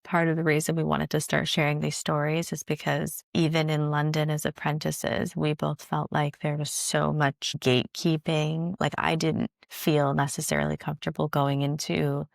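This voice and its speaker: A woman's voice: deep voice, completely flat delivery, normal speed, vocal fry, hardly any pauses, no echo, studio quality. A woman hosting a podcast, speaking thoughtfully and hedging with fillers. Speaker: podcast host, Pilates apprentice. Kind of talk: casual conversation.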